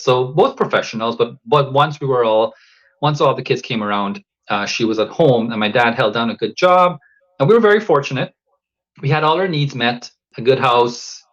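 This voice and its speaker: A man's voice: medium pitch, a bit bright. Thoughtful man from Guyana, lo-fi sound. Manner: thoughtful, measured, with frequent fillers and restarts. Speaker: podcast guest, coach. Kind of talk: reflective interview talk.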